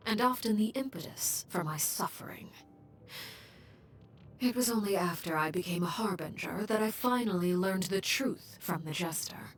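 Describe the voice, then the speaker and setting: A woman playing a stern, composed and coldly menacing female leader in a video game, speaking in a measured, formal way with a breathy, hushed voice. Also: fairly low pitch, slight background noise, lightly acted. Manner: measured, formal, deliberate, coolly restrained. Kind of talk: scripted video game character voice lines.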